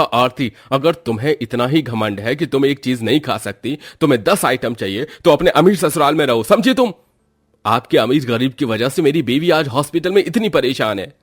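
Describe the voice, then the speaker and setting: A man's voice: medium pitch, natural voice. A man voicing a protective young husband in a cartoon story, heated and reproachful. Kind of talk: acted Hindi cartoon moral story.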